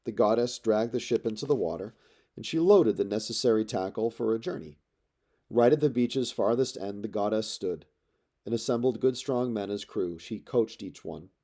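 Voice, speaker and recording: Male audiobook reader, epic verse, warm and fairly deep tone, measured phrase-by-phrase delivery. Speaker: audiobook narrator. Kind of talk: dramatic literary read-aloud of epic poetry.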